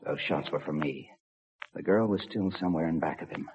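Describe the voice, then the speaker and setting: An actor playing a tough, steady railroad police lieutenant who narrates his own story in a clipped 1950s radio drama style. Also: a bass voice, a little fast. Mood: grim narrating. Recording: muffled, noisy old radio recording.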